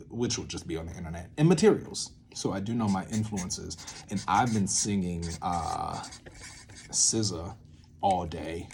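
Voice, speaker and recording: A man hosting a casual vlog, thinking aloud in an informal, rambling way with sudden drawn-out, emphatic words. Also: on the low side, warm tone, a little hiss or hum. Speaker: video series host, theatre maker. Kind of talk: casual unscripted creative-process talk, thinking aloud.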